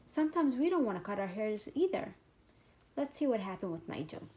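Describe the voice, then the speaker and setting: A woman's voice: mid-range voice, sing-song, brisk, dark tone. A woman reading a picture book aloud to young children, expressively and simply, with short explanatory asides.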